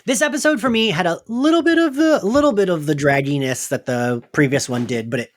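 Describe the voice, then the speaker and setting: A man's voice: sits high, expressive, a little fast. A man hosting a podcast in casual, self-deprecating chat, given to giggling. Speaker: podcast host.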